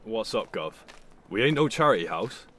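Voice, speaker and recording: A gruff young coachman with a lowish voice and a working-class London accent. He is cheeky, blunt and colloquial, in audio drama style. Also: big pitch swings, normal speed, fairly bright tone, slight background noise. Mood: wary, defensive.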